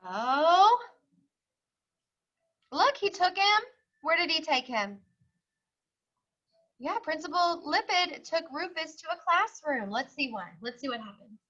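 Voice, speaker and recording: A woman reading a storybook aloud to young children, expressive and singsong, pausing to explain and ask questions. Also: high voice, highs cut off.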